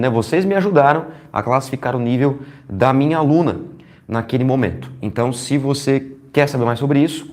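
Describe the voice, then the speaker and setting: A Brazilian man with a slightly hoarse, stuffy voice, teaching on a live stream in an easy, chatty way. He greets viewers by name as he goes and keeps asking whether everyone is following along. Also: mid-range voice, darkish tone. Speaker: strength training educator hosting a live stream. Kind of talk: casual live-stream lesson.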